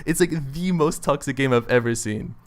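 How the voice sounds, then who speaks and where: A man's voice: mid-range voice, normal speed. A man chatting casually and enthusiastically on a gaming podcast as its host, swearing freely.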